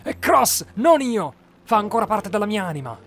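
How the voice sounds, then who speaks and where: A man voicing a proud, bitter young skeleton boy in an animated fan dub, confrontational and defensive. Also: high-pitched, moderate pace, soft background music, full character voice. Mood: angry, defiant.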